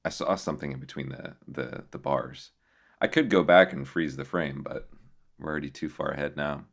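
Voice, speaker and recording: A man giving casual reaction commentary as a host, musing aloud in a halting way. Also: extremely low pitch, creaky. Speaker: host reacting to horror videos.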